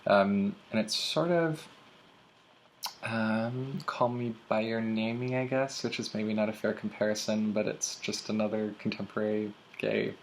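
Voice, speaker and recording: A man's voice: fairly low pitch, unhurried, slight background noise. A young man talking casually about books in a vlog, with lots of ums and uhs. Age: young adult. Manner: chatty, many ums and uhs. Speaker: booktuber. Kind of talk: casual book tag vlog.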